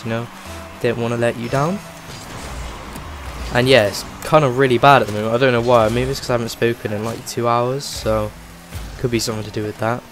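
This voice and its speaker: A man rambles casually through his own gameplay, his voice rough and a little off from a cold. His delivery stays fairly flat and level. Game music plays clearly underneath him. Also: mid-range voice. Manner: rambling, chatty, many "like" fillers. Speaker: gaming channel host.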